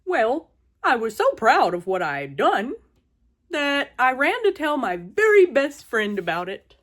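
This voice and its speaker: A woman voicing an earnest male elephant in a storybook, telling his woes in a deliberate, drawn-out, dramatic way. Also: sits high, big pitch swings, voice pushed up, exaggerated voice. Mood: proud, then sheepish.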